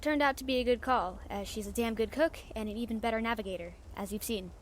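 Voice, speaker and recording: Woman acting a brash, high-voiced female pirate captain. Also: quick pace, close to an everyday voice. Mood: casual, fond. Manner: casual, salty swearing, confiding. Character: brash, warm-hearted female pirate captain, fond and teasing. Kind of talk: audio roleplay acting, chatting to the listener. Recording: faint background noise and ambience.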